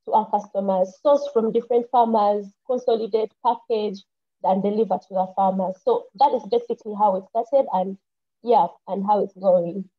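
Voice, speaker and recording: A woman's voice: medium pitch, steady tone, normal speed. Earnest young Ugandan woman, muffled mic. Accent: Ugandan English. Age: young adult. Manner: earnest, restarts often, quotes her own thoughts. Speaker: panelist, engineer and farmer. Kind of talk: casual personal account on an online panel. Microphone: computer mic on a video call.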